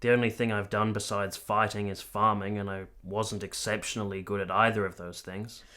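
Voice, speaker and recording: A man's voice: lowish voice, level tone, moderate pace, pushed and bright. An actor playing a cynical, bitter soldier captain in his late twenties in a period radio drama, dry and argumentative. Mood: wry, resigned. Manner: dry, argumentative, matter-of-fact.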